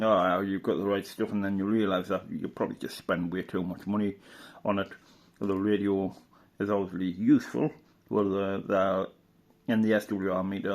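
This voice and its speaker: Rambling middle-aged British man, lowish voice. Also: a little mellow. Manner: rambling and unhurried, with many fillers and restarts. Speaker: radio hobbyist leafing through a catalogue. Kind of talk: casual reminiscing commentary.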